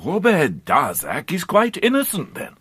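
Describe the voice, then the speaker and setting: An actor playing a formal, inquiring official in a period radio drama, with stately and emphatic delivery. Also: male voice, on the high side, wildly swooping pitch, measured pace, a bit bright, husky, no room sound, studio quality, exaggerated voice. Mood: probing.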